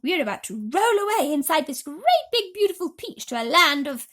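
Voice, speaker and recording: A woman voicing a kind, gentle female ladybird from a storybook, reassuring and emphatic. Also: high voice, very animated, normal speed, pitched above the performer's own voice.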